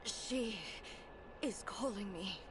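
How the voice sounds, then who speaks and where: A woman playing a solemn, weakened but resolute woman in a video game, speaking in a halting, grave and formal way. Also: medium pitch, background noise, lightly acted. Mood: urgent resolve.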